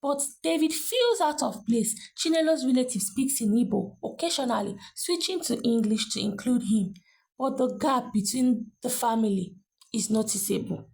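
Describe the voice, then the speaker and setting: A woman narrates a scripted story in a deliberate, dramatic way, pausing between phrases. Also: a little high, gravelly, darkish tone, poor audio.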